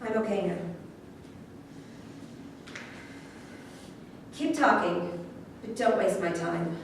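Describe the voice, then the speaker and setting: A woman's voice: medium pitch, animated, normal speed, natural voice. A woman in a staged play reading, playing an angry, wounded widow with an indignant, accusing manner. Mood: curt. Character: angry, wounded widow confronting husband's killer. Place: echoey room at a staged reading, far microphone. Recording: very echoey room, distant mic, faint noise.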